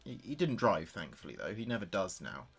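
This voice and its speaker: A man's voice: low-pitched, sing-song, brisk, creaky, husky, exaggerated voice. An actor playing a grieving adult son in an audio drama, speaking hesitantly and confidingly as if taping messages for his dead father. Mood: worried. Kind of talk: audio drama monologue as a taped diary.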